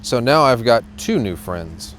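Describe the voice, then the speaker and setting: A man's voice: mid-range voice, sing-song, a little slow, a bit bright, a little hiss or hum, low-quality recording. A man voicing a cheerful, friendly young girl in a children's storybook, with plain, polite dialogue.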